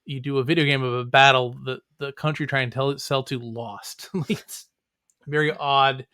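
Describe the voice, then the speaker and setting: An American man chats as a podcast guest in a mid-pitched voice, recorded dry with no room sound. His way of talking is casual, conversational and wry. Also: steady tone, moderate pace, pushed and bright, clear, resonant tone, no room sound. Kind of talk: casual discussion.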